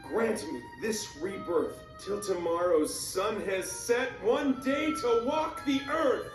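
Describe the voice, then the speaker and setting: A man playing a brash, theatrical teenage big brother obsessed with magic quests in an animated film. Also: high-pitched, slow pace, echoey room, far from the mic, full character voice. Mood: grandiose. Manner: bombastic, theatrical. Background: music.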